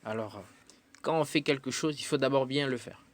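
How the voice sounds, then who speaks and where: A man's voice: medium pitch, fairly quick. Hesitant man, musician guest. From Democratic Republic of the Congo. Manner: thoughtful, hesitant with frequent fillers. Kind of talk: radio interview answers.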